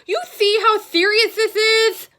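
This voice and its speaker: Woman playing storybook grandpa, elderly, toothless mumble, dramatic, indignant, suspicious, drawn-out forceful delivery. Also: very high voice, flat delivery, voice pushed up, exaggerated voice. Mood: indignant, moaning. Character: distraught old grandfather missing his false teeth, indignant.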